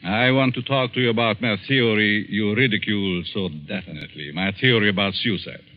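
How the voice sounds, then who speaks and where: A man acting a sinister, insane professor villain in a 1940s radio thriller, deliberate and mockingly polite as he menaces. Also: fairly low pitch, lightly acted. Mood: smug, confrontational. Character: sinister, insane professor, coldly scheming and gloating male villain. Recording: dull 1940s radio recording.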